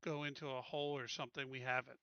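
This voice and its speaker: A man's voice: medium pitch, little pitch movement, fast talker, smooth, dry recording, highs cut off. A man taking part as a player in a tabletop game, chatting casually about what to do.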